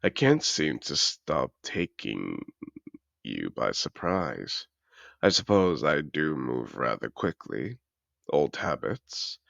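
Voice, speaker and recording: A man's voice: extremely low pitch, sing-song, slow pace, fairly bright tone, dry recording, muffled recording, heavily acted. A man voicing a suave, seductive dragon disguised as a wealthy aristocrat in a fantasy audio roleplay, speaking in a courtly, formal way. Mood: teasing, seductive. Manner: courtly, formal, smooth-talking.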